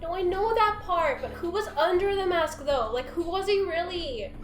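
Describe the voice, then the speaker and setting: A woman's voice: extremely high pitch, moderate pace, roomy sound, soft background music. A giggly woman reading lines aloud in a joking amateur dramatic reading with friends.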